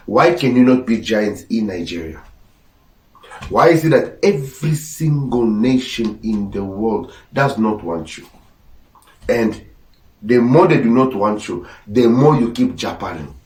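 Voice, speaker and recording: A man's voice: on the low side, a little mellow, echoey room, distant mic, poor audio. A man originally from Angola whose first language is Portuguese, hosting a podcast with blunt, lecturing opinion talk. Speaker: podcast host. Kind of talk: opinionated commentary.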